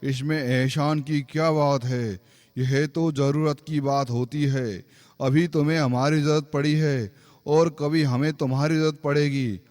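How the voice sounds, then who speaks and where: A man acts out a benevolent lion who rules a cartoon forest. The voice sits a little high for a male. The delivery is emphatic and paternal, full of reassurance and authority. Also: a little mellow, no echo, lightly acted. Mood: friendly, reassuring. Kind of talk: Hindi animated moral-story voice acting.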